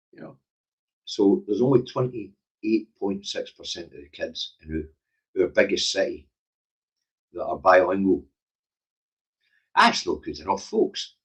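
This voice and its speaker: Husky, low-voiced Glaswegian man. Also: low-quality recording. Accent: Glaswegian Scottish. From Scotland. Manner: blunt, emphatic, sweary, conversational. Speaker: livestream current affairs show host. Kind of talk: opinionated casual live commentary.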